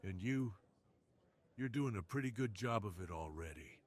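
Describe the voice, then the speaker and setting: A suave crime boss, acted out by a man in an ASMR roleplay. He is flirtatious and dominant, teasing with total self-assurance. The voice has a husky edge. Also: on the low side, expressive, moderate pace. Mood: confident, flirtatious.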